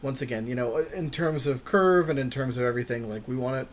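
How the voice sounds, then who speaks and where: A man's voice: medium pitch, brisk, a little hiss or hum. A man co-hosting a gaming podcast with casual, jokey banter, often repeating short phrases. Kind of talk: casual game commentary, banter.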